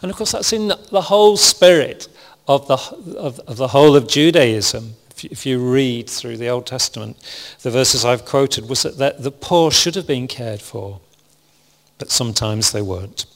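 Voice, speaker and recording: A man preaching a sermon to a congregation, speaking calmly and evenly, with frequent restarts. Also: medium pitch, moderate pace. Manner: calm, even, self-described monotonous delivery with frequent restarts. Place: church hall with congregation. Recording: long hall reverb.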